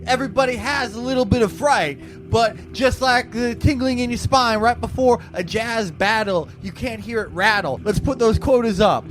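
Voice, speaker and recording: A man's voice: very high voice, moderate pace, hardly any pauses, a little hiss or hum, pitched above the performer's own voice, rough recording. A man playing an eager, hokey jazz singer reciting rhymed spoken word in a tabletop game. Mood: hyped up.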